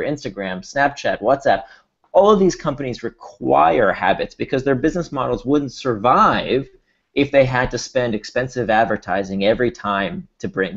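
A man's voice: mid-range voice, husky. American-accented man presenting an online workshop. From Israel. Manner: explanatory, repeats words when restarting. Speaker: workshop speaker. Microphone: video call. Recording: dull lo-fi video call audio.

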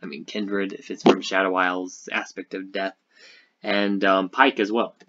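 A man giving casual, unscripted gaming commentary as a video host, speaking haltingly as he thinks aloud. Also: low-pitched, level tone, muffled recording, heavy background noise.

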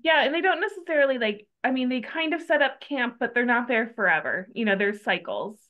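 A woman's voice: a little high, animated, a little fast, fairly bright tone. Young woman lecturer on a dull video call. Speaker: guest lecturer. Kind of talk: answering audience questions.

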